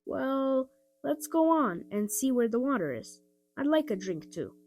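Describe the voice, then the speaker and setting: A woman's voice: high voice, sing-song, measured pace, a little mellow, pitched above the performer's own voice, full character voice. Woman doing a big brother kid from a storybook, upbeat, takes charge, music behind. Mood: bright, pleased. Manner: reassuring, instructing. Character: responsible, cheerful, protective older brother, a boy. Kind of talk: children's storybook character voice.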